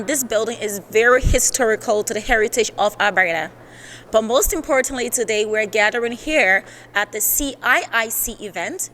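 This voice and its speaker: A woman's voice: a little high, fairly bright tone, a little hiss or hum. A woman giving a prepared introduction as the host of a community event. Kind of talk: prepared presentation to camera.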